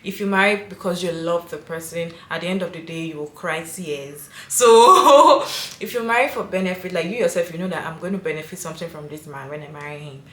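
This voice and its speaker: A woman's voice: fairly low pitch, fairly quick, few pauses, a bit bright, echoey room, a little hiss or hum. A woman hosting a video, giving her opinion emphatically and asking viewers questions. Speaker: video host and commentator. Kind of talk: casual commentary to viewers.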